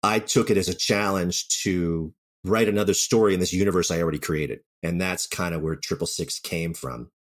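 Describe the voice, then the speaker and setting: Low-voiced man, candid storyteller. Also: fairly even intonation, moderate pace. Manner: anecdotal, candid. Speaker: interview guest, audio drama writer. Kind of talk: reflective interview talk.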